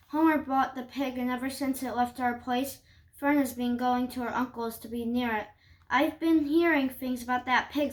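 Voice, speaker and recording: A woman reading a children's story aloud, haltingly, with stumbles and restarts. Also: high voice.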